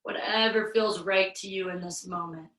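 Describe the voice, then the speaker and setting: A woman yoga instructor with a slight head cold, guiding a virtual class through breathing in a slow, soothing way. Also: mid-range voice, fairly even intonation, fairly bright tone, reverberant, distant mic, rough recording.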